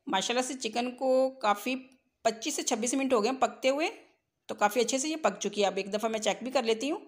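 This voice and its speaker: High-voiced woman narrating recipes. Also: pushed and bright. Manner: step-by-step, instructional. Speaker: home cook hosting a cooking channel. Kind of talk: recipe tutorial voice-over.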